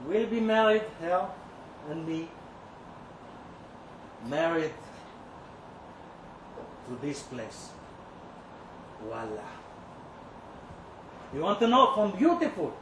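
A man performing a dramatic first-person story as a bitter, lovesick young kibbutz kitchen worker, brooding and sardonic, with dramatic pauses. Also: a little high, big pitch swings, a bit bright, exaggerated voice. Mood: bitter, yearning. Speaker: reader at a live literary event. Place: indoor live reading venue. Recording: roomy live event recording, clear background noise.

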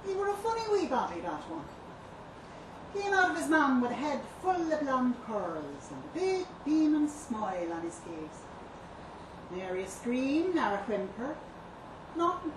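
Fond, earthy midwife as a woman reader acts her, plain-spoken dialect, higher-pitched, in a noisy live venue. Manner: dialect grammar, folksy, chatty. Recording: distant echoey live venue, noisy room.

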